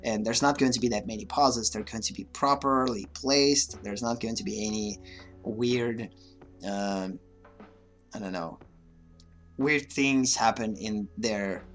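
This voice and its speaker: A man's voice: fairly low pitch, unhurried, fairly bright tone, soft background music. A man whose first language is Russian, speaking English with a mixed, slightly Russian accent in a casual, hesitant livestream monologue full of fillers and restarts. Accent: mixed Russian-influenced. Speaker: livestream host.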